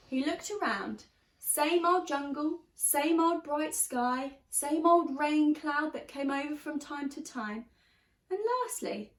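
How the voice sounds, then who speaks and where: A woman reading a children's story aloud like a teacher, expressively and deliberately, stressing key words. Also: sits high. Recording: roomy, echoey sound.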